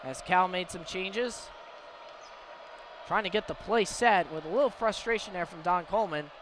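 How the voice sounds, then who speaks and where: A high-pitched male radio announcer gives live play-by-play of a game. His voice sits over the ambient noise of a sports venue, following the action in the manner of a broadcast commentator. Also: moderate pace. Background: clear ambient venue noise.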